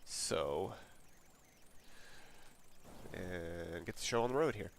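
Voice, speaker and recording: Low-voiced congested man, noisy mic. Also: slow pace, creaky. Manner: relaxed, rambling, reads checklist items aloud. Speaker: flight sim streamer. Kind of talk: casual walkthrough narration. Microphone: desk mic. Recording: noisy home mic recording.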